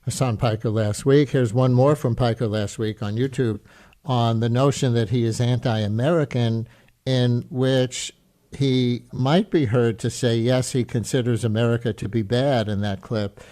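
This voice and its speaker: A man presenting a public radio segment in an even, measured way. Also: medium pitch. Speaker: radio host.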